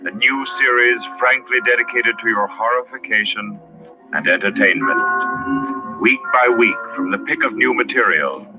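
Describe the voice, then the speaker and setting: A man's voice: medium pitch, normal speed. A man announcing a radio show in a formal, dramatic, portentous 1940s scripted style. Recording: dull old radio recording, clear music behind.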